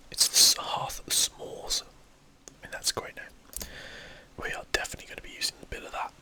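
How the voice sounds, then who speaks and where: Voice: normal speed, bright, forceful tone, rough recording. Whispered ASMR gaming commentary. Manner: whispering throughout, breathy and unvoiced; casual, thinking aloud. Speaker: gaming video host.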